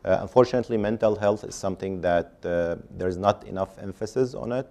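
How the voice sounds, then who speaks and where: A man on a panel answering audience questions, speaking haltingly with many fillers and restarts. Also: on the low side, gravelly, dark tone.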